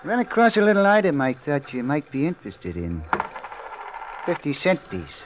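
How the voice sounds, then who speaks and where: A sly shady messenger. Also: male voice, huge pitch swings, moderate pace, background noise. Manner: sly, low-key. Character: shady secretive go-between, little man. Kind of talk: 1940s radio acting. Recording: dull old radio recording.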